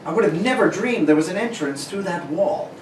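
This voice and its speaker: A courteous, curious secret-agent hero, played by a man, high and animated, narrating his discoveries like an old radio serial. The recording is roomy, distant and noisy. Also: brisk. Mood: curious, assured. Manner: courteous, narrates what he sees aloud. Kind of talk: amateur read of a 1940 radio serial. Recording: echoey room, distant mic, clear noise.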